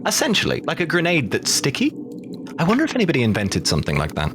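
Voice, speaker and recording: A man playing a tabletop game, talking in a quick, animated way and laughing and swearing freely. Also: a little high, noisy background. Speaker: player. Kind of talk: casual tabletop play.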